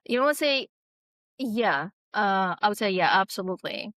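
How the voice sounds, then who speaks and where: A woman's voice: medium pitch, animated, normal speed, a bit bright, dry recording. Hesitant woman author, very dry sound. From abroad, immigrated around twenty-two. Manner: hesitant, many fillers and restarts. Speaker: author guest. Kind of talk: casual interview talk.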